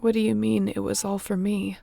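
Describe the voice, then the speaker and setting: A woman acts out a battle-worn female space captain in a sci-fi audio drama. Her voice is soft and mellow, heavily creaky at the edges. She speaks haltingly and earnestly, in a narrow, restrained melody, tender yet guarded. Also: mid-range voice, flat delivery. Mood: disbelieving, moved. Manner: hushed, halting, emotionally earnest. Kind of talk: intimate audio roleplay acting.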